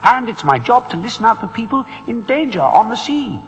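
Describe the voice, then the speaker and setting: An excitable little storybook pixie, squeaking very high with lively swoops. The small, eager voice is exclamatory and kindly, ringing in a long reverb. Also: male voice, brisk, over music, full character voice. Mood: reassuring, eager. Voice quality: small, piping voice. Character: tiny chubby excitable male pixie, helpful and delighted. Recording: long reverb, faint noise.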